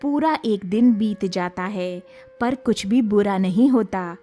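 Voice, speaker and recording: Slow-paced woman storyteller. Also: mid-range voice, dark tone, faint music behind. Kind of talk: scripted story narration.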